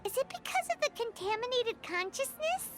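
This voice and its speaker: Squeaky, extremely high little fairy companion. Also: female voice, normal speed. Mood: puzzled, excitable. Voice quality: squeaky, childlike. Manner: expressive, inquisitive, blurting. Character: tiny, chirpy, excitable childlike fairy companion. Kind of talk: video game voice acting.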